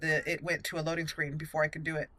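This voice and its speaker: A woman's voice: low voice, level tone, fast talker, pushed and bright. A woman hosting a gaming channel, giving casual roleplay commentary as she plays. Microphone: voice-over over game audio.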